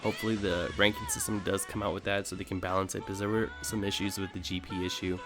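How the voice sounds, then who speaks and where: A man's voice: low voice, little pitch movement, fast. A man hosting a gaming video, talking casually off the cuff in a hesitant, rambling way as he thinks aloud. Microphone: voice-over recorded over gameplay. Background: music under the voice.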